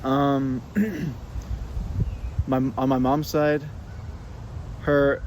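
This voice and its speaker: A young man from Fresno, California, answering oral history questions in a halting way, full of "um"s and restarts. His voice sits in an ordinary middle pitch. Traffic and street noise run clearly under the recording. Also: fairly even intonation, a little mellow, rough recording. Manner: hesitant, many fillers and restarts. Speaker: interviewee.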